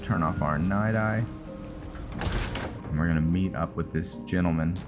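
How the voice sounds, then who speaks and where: Male streamer, low register, casual playthrough commentary over game music. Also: normal speed. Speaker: game streamer narrating his roleplay playthrough. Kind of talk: casual gameplay commentary with some in-character lines. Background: video game music.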